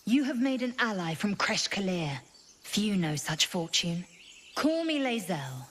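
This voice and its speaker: A woman's voice: mid-range voice, very animated, measured pace, fairly bright tone, a little hiss or hum. An actress voicing a proud, imperious alien warrior woman in a video game, with clipped, scornful speech. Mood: commanding. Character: proud, haughty female alien Githyanki warrior.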